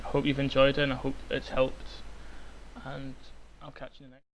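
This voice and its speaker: A young man, hoarse and croaky from illness, rambling with many ums through a software tutorial. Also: mid-range voice, monotone, brisk, poor audio. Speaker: tutorial maker. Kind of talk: screen-recorded software tutorial. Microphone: cheap microphone. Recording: faint hiss, cheap mic.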